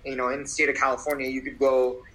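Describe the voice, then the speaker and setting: A man's voice: medium pitch, flat delivery, quick pace, a bit bright, rough recording. A man, a company CEO appearing as a guest, answering interview questions in an emphatic, persuasive way and repeating phrases for effect.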